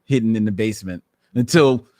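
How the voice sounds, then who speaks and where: A man's voice: lowish voice, fairly quick, mellow, dry recording, clean studio sound. A man hosting a podcast in casual chat, talking in long meandering sentences and laughing often. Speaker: podcast host. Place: room full of Batman memorabilia.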